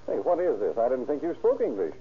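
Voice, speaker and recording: A man's voice: medium pitch, big pitch swings, fast, breathy edge. An actor playing a wry, colloquial undercover man in an old radio drama. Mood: wry, uneasy. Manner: wisecracking, colloquial. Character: wry, breezy male undercover private secretary. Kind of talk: 1930s radio drama acting. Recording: tinny, hissy old radio recording.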